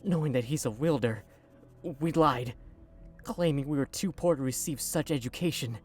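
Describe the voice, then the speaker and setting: An actor playing a haunted young man recounting his captivity in a hesitant, halting way. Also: mid-range voice, slightly rough, soft background music, close to an everyday voice. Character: haunted young male witness, former captive.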